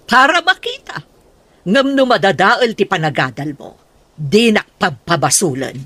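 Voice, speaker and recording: A woman's voice: mid-range voice, animated, measured pace, bright, forceful tone. A woman with an Ilocano Filipino accent acting a stern, suspicious, scolding middle-aged mother in a radio comedy-drama.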